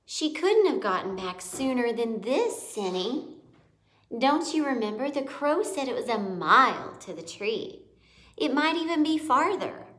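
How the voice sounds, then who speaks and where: A woman's voice: sits high, big pitch swings, measured pace, fairly bright tone, voice pushed up, exaggerated voice. A woman voicing a reassuring, sensible young girl mouse, a big sister in a storybook. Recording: echoey room.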